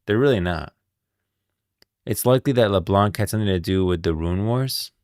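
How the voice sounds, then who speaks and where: A man's voice: on the low side, normal speed, a little mellow, dry recording, studio quality. A man streaming casual game lore commentary, rambling and thinking aloud as he goes, often repeating himself. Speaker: streamer, host.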